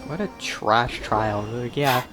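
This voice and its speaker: A woman's voice: a bass voice, slow pace. A woman giving casual, offhand, sardonic commentary while playing a video game. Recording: game music behind the voice.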